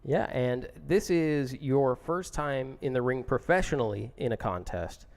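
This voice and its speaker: A man's voice: medium pitch, a little slow, a little mellow. A man hosting a casual boxing podcast, introducing guests and teasing his co-host in friendly banter.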